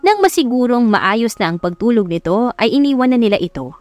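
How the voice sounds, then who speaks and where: A woman's voice: a little high, big pitch swings, brisk, no room sound. A woman with a Filipino accent reading a scripted story as an audiobook narrator, in a dramatic storytelling style. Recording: background music under narration. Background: music.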